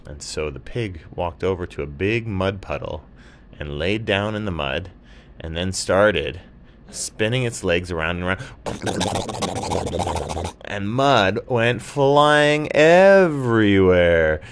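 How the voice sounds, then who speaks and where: A man's voice: low-pitched, animated, takes it slowly, a little hiss or hum. A man narrating a bedtime story for children in simple, emphatic phrases.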